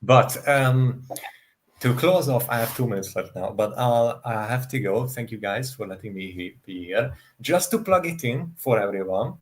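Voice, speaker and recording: Man, medium pitch, guest panelist, casual livestream chat, slight background noise. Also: expressive, moderate pace.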